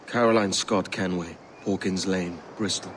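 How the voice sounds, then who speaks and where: An actor playing a brisk, commanding young pirate captain in a video game, terse and businesslike. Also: male voice, low-pitched, steady tone, background noise, close to an everyday voice.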